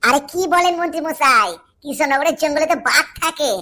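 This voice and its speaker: A woman's voice: sits high, brisk, sharp tone. A woman voicing a dim, cheeky male henchman in a comic cartoon, silly and eager. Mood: eager, puzzled.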